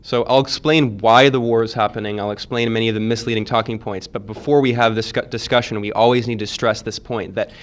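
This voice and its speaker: A man speaking on a conference panel while sick and congested, emphatic and forceful. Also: on the low side, steady tone, fast, few pauses. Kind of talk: conference talk. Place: conference room.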